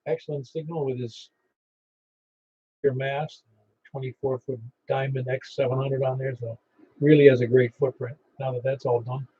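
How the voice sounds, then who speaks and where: Husky guy doing ham net control, chatty, muffled radio sound. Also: medium pitch, moderate pace. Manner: relaxed, chatty, reads call signs. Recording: muffled radio receiver audio.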